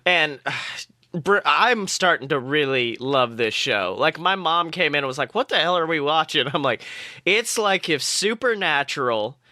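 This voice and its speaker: A man's voice: fairly high pitch, lively intonation, measured pace, pushed and bright, no room sound, clean studio sound. A man co-hosting a podcast, chatting casually and animatedly about a TV show, swearing freely. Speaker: podcast co-host.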